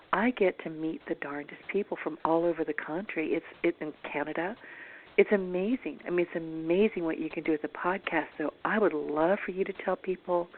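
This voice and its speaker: A woman with a hoarse, strained voice hosting a radio interview, chatty and quick to laugh. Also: deep voice. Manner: chatty, laughs often, dramatic asides. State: hoarse, voice in rough shape. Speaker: radio show host. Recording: tinny phone line.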